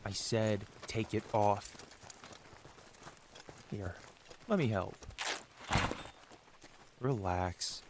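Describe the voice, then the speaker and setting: A man's voice: fairly low pitch, darkish tone, slight background noise. A man voicing an angry yet protective dragon who scolds a rider in a dramatic, emotional fantasy ASMR roleplay. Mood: curt, commanding.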